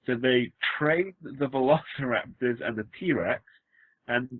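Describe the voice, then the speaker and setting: A man's voice: mid-range voice, a little slow, no room sound. A man with a Welsh accent co-hosting a casual podcast, rambling and joking through a story with frequent restarts. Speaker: podcast co-host. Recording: phone-like lo-fi dry sound.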